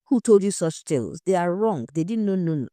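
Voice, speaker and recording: A woman voicing an expressive married hostess in an audio story. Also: on the low side, a little fast, dry recording, studio quality, natural voice. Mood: defensive, insistent. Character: gracious married hostess, grieving friend, defensive when questioned.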